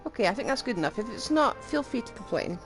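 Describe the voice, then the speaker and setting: A woman live-streaming a game, chatting casually with wry, offhand asides. Her pitch moves around in a lively way, with music playing faintly behind her. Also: fast talker.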